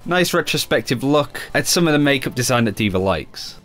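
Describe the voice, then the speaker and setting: A man's voice: mid-range voice, expressive, fairly quick, over loud music. A man hosting a gaming video, giving chatty, wry, lightly sarcastic commentary on game clips.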